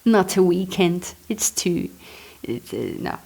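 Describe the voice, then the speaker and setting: A woman's voice: lowish voice, big pitch swings, slow pace, creaky, a little mellow. A woman reads her own memoir aloud, expressively, adding chatty, self-deprecating asides. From Belgium. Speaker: author reading and commenting on own memoir.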